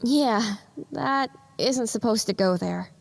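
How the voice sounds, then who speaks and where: A woman's voice: fairly high pitch, slow pace, creaky, heavily acted. A woman playing an earnest, practical young pony mechanic, talking conversationally in an audio drama. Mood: practical, focused.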